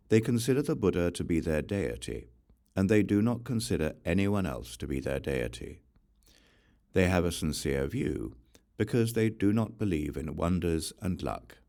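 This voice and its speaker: Low gravelly guy reading scripture slow and solemn, voice rises and falls a lot. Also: a little mellow. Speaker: audiobook reader.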